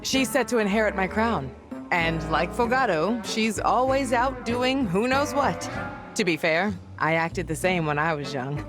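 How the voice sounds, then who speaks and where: A woman's voice: mid-range voice, a little slow, over music, close to an everyday voice. A woman playing an easygoing, absent-minded middle-aged queen and mother in a video game, musing as she talks.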